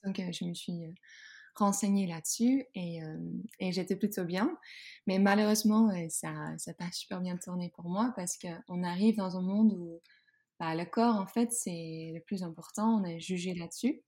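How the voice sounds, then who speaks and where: A woman's voice: fairly low pitch, normal speed, mellow. A woman from the Netherlands speaking French with a Dutch accent, telling her story as a podcast guest, hesitant with many fillers and restarts. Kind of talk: podcast interview, personal story.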